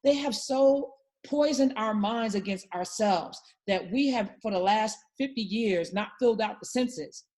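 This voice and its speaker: A woman's voice: fairly high pitch, unhurried, a little mellow. A woman hosting a talk show, speaking with emphatic, passionate conviction in casual political discussion.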